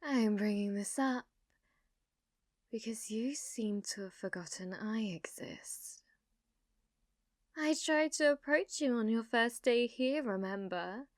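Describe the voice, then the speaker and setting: A woman playing a sadistic, haughty young noblewoman villainess in an ASMR roleplay, taunting theatrically and often dropping into breathy whispers. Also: fairly high pitch, slow, long pauses, no echo, clean studio sound. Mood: bitter, haughty.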